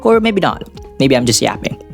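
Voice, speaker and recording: Lower-pitched male anime commentator. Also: big pitch swings, normal speed, creaky. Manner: rambling, self-deprecating, crude joking. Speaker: anime video essayist. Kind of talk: casual, semi-scripted commentary. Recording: music behind the voice. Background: background music.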